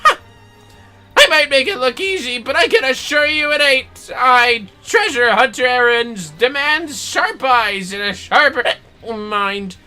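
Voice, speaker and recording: A man voicing a greedy, boastful old treasure hunter from a video game, with theatrical piratical bluster and drawn-out words. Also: very high-pitched, sharp tone, clear, resonant tone, faint music behind, voice pushed up, full character voice. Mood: boastful, instructive.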